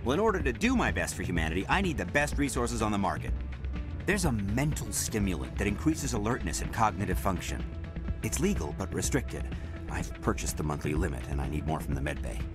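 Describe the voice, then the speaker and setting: A man's voice: on the low side, very animated, a little fast. An actor playing a pushy, desperate male negotiator in a video game, agitated and pleading as he justifies himself. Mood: insistent. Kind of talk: video game dialogue acting. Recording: music playing behind the voice. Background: loud music.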